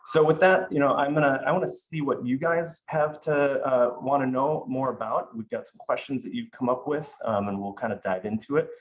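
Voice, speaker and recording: A man's voice: medium pitch, normal speed, few pauses. A man leading a video call in a loose, conversational way with frequent fillers. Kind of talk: casual video call presentation.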